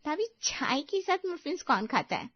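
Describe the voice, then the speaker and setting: A woman's voice: high voice, big pitch swings, moderate pace, no echo, lo-fi sound. A woman voicing a teasing, chirpy young college-girl sister-in-law in story dialogue. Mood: complaining.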